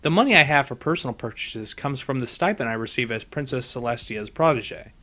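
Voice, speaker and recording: A man's voice: medium pitch, animated, fairly quick, sharp tone. Guy doing a bookish young girl unicorn, polite, bit hesitant, audiobook pony character. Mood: modest, reluctant. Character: modest, studious young female unicorn pony. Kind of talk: fan fiction audiobook character voice.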